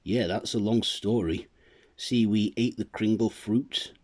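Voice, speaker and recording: A man's voice: on the low side, measured pace, a little mellow. A man voicing a laid-back male talking rabbit, speaking casually and easily. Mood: easygoing.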